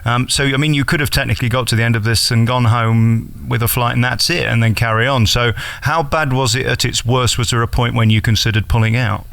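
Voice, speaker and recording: A man interviews at a quick clip. His voice sits at a middling pitch, and his questions are direct and probing in a conversational radio style. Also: steady tone, gravelly, a bit bright, no room sound.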